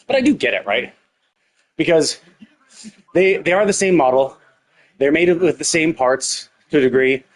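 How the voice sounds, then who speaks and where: A man with a husky voice giving casual, rambling livestream commentary, thinking aloud and often rephrasing his own questions. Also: a little high, expressive, normal speed, long pauses. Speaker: livestream host.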